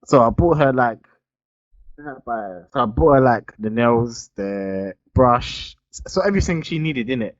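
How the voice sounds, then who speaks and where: A man's voice: medium pitch, expressive, normal speed, no room sound. A young man, telling a long story in casual podcast chat and tagging his sentences with "innit". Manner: rambling storytelling, tags "innit" often. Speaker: podcast co-host. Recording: dull lo-fi dry sound.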